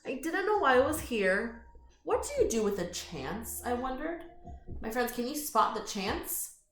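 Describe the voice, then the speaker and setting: Higher-voiced woman reading storytime in a roomy space. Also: measured pace, slight background noise. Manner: expressive, inviting, addresses listeners as friends. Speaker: children's storytime reader. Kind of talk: read-aloud picture book with chatty asides to children. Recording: roomy echo.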